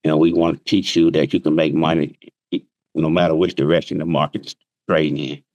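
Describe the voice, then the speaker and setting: A man's voice: very deep voice, flat delivery, brisk, a little mellow, dry recording, dull audio. A man teaching trading, explaining plainly with everyday examples on a remote call. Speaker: trading instructor. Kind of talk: casual interview answers.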